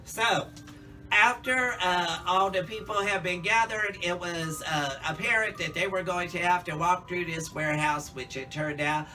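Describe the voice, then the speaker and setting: A man's voice: high-pitched, measured pace, bright, forceful tone, far from the mic, faint music behind, rough recording. A man in a casual, campy monologue, chatting to viewers as a drag queen reviewer.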